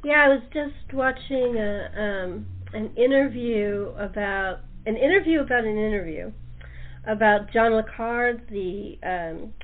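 A fairly high-pitched woman talks through a thin, phone-like connection. She hosts in a casual, chatty style, repeating phrases for emphasis. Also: a little slow. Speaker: talk show host. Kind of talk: casual interview chat. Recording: tinny narrow phone-like line.

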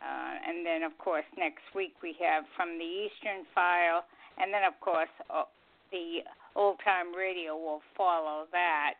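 Low-voiced woman co-hosting over tinny phone line. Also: steady tone, a little slow. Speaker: radio show co-host. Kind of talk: casual radio chat and show announcements. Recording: tinny phone line, faint music behind.

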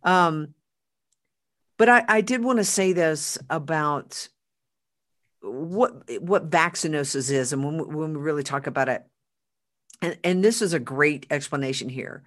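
A podcast host speaking emphatically in casual chat, often repeating words and slowing down for quoted lines. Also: medium pitch, a bit bright.

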